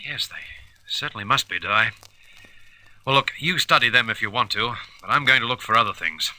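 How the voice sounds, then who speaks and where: A decisive space captain in an old-fashioned radio serial. His voice is very bright and forceful, at a middling pitch. He takes command while thinking aloud. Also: a little fast, no room sound, rough recording. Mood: thoughtful. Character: decisive male space hero leading his crew.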